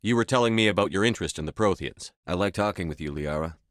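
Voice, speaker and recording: A man's voice: sits low, fast talker, fairly bright tone, dry recording, clean studio sound. A man voicing a resolute, earnest human starship commander in a video game, firm and clipped in his delivery. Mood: calm, firm. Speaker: voice actor. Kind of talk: video game dialogue voice acting.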